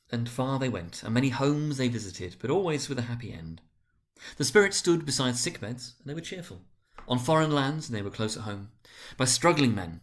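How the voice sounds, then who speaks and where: A man narrating a literary story dramatically, with deliberate, expressive phrasing. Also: mid-range voice.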